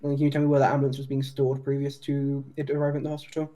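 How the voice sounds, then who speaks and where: Man acting fast, mellow police detective. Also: mid-range voice, completely flat delivery, natural voice. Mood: methodical. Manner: procedural, questioning, restarts questions. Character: polite, methodical male police detective. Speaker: roleplayer. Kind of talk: improvised online roleplay.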